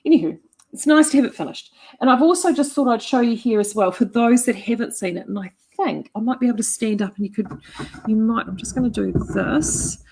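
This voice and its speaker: A woman's voice: a little high, fairly quick, warm tone, breathy edge, low-quality recording. A middle-aged woman with a New Zealand accent chatting casually to camera from her yarn shop, enthusiastic and full of fillers and restarts. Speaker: yarn shop owner and knitting vlogger.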